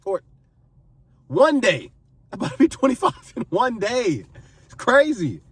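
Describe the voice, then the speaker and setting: A man's voice: very high-pitched, very animated, measured pace, breathy edge, dry recording, distant mic. A man in his twenties giving earnest advice to camera, pleading and repeating phrases for emphasis. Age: 20s. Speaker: vlogger giving life advice.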